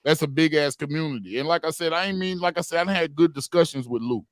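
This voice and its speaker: A man's voice: on the high side, quick pace, dry recording, studio quality. A man in his forties talking earnestly in a heated group podcast discussion, repeating phrases for emphasis. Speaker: podcast panelist.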